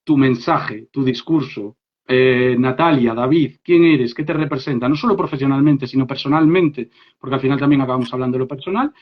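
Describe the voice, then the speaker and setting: A man from Spain with a Spanish accent, giving a lively online workshop on English for business, talking directly to his listeners and checking in with them often. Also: mid-range voice, a little fast. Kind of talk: informal live workshop talk. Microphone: phone or laptop mic on a live stream. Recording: dull lo-fi live stream audio.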